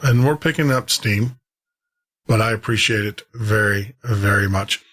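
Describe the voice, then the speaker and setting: A man's voice: on the low side, unhurried, fairly bright tone. A man hosting a radio show, talking casually and conversationally to his listeners in a rambling way, repeating himself for emphasis. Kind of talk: casual sign-off talk to listeners.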